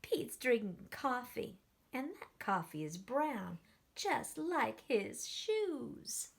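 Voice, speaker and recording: A woman teacher reading a picture book aloud to children, animated, with gasps and questions to the kids. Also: fairly high pitch, slow pace, vocal fry, slight background noise. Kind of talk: children's story read-aloud.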